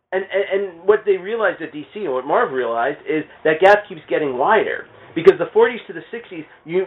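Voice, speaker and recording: A man chatting casually about comics, thinking aloud in an animated, rambling way and repeating his phrases as he goes. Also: on the high side, narrow, tinny audio. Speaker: podcast guest. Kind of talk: casual comics fan chat.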